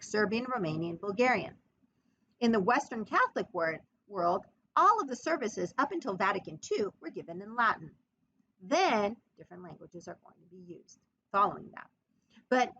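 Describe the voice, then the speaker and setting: Expressive woman lecturing, lively pitch. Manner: emphatic, expressive storytelling with dramatized quotes. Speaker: history lecturer. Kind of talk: podcast lecture. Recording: muffled lo-fi recording.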